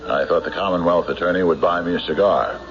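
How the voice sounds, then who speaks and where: An actor playing a stubborn small-town county sheriff in a 1970s radio drama, speaking in an emphatic, insistent, deliberate way. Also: male voice, low-pitched, fairly even intonation, background noise. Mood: matter-of-fact. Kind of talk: 1970s radio drama acting, logbook narration and dialogue. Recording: dull 1970s radio recording, music behind.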